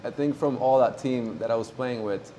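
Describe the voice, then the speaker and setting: A man in his twenties from Morocco, a pro tennis player talking casually in an interview, relaxed and halting with many fillers. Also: medium pitch, a little hiss or hum. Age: 20s.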